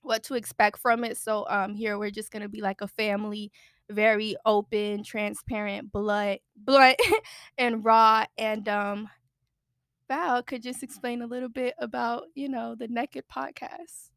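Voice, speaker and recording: A woman's voice: fairly high pitch, fairly even intonation, a little slow, a bit bright, smooth, no room sound, studio quality. A woman in her twenties, co-hosting a podcast in a casual, bubbly chat, a little nervous and chatty. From United States. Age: 20s. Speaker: podcast co-host.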